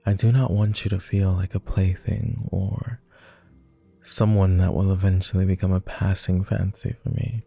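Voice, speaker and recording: A man's voice: deep voice, flat delivery, moderate pace, creaky, dark tone, slightly rough, no room sound, faint music behind, heavily acted. A man playing a clingy, lovestruck and melancholy vampire lord in an intimate boyfriend roleplay ASMR, speaking tenderly in formal, old-fashioned, hesitant phrases. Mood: earnest, tender.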